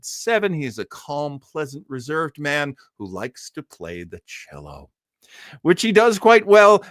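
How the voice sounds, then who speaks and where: Man with lively, swooping delivery, close dry sound. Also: mid-range voice, no room sound. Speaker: live stream host. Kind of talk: casual live commentary on old-time radio, reading an article aloud.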